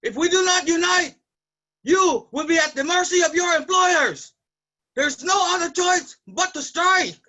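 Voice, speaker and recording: An actor playing an earnest, impassioned Filipino labor organizer in a staged reading, declaiming to fellow workers. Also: male voice, very high voice, lively intonation, a little slow, bright, forceful tone, exaggerated voice, low-quality recording. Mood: rallying, urgent.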